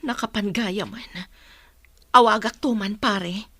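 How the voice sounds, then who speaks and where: A woman's voice: mid-range voice, takes it slowly, bright, forceful tone, heavily acted. A woman acting a patient, concerned mother in a radio drama, speaking earnestly.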